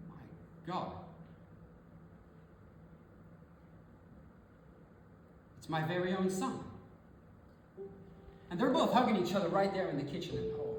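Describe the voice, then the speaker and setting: An actor performing a theatrical monologue as a rambling, sentimental storyteller, speaking casually with halting, emotional repetitions. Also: mid-range voice, background noise, lightly acted. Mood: wistful, moved. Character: rambling, sentimental storyteller moved by a family reunion, turning zealous. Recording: very echoey hall, distant mic, music behind.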